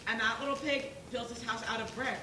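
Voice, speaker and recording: A woman's voice: on the high side, fairly quick, natural voice. A woman playing a reasonable pig juror who argues and questions in a stage play. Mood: reasoning, doubtful. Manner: questioning, argumentative. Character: reasonable pig juror, calmly doubting the wolf's guilt. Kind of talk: school stage play acting. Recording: roomy, distant, noisy stage recording.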